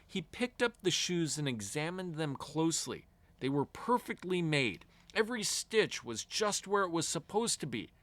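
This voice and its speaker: A man reading a fairy tale aloud to children as an expressive storyteller, with deliberate storybook phrasing. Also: fairly high pitch, no echo.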